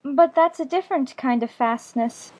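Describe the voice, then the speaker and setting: A man doing a high girlish voice, polite, curious, earnest, storybook reading. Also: moderate pace, a little mellow. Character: polite curious young girl.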